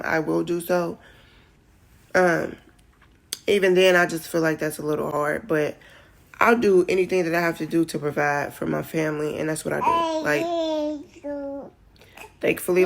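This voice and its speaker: Woman, about thirty, low with vocal fry; casual rambling vlogger, emotional. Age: 30s. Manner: emotional, rambling, tearful at times. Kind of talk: casual vlog chat.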